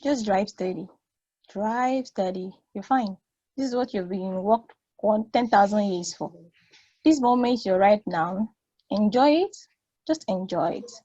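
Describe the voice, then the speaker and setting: A woman playing an excitable friend giving guidance in a table read of a stage play. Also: medium pitch, a little slow, dry recording, lo-fi sound. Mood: soothing. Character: excitable woman guiding her friend over the radio link.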